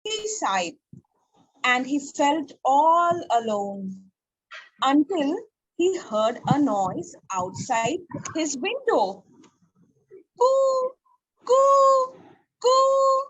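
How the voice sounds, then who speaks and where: A woman with a high, lively voice reads story narration aloud. She goes slowly and carefully, halting between phrases as in a reader's theatre. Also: lo-fi sound.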